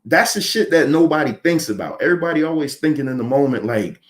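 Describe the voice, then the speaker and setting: A man's voice: on the high side, lively intonation, fast talker. A man in his thirties giving blunt opinions in a casual panel chat, often repeating words as he starts a point. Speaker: podcast panelist.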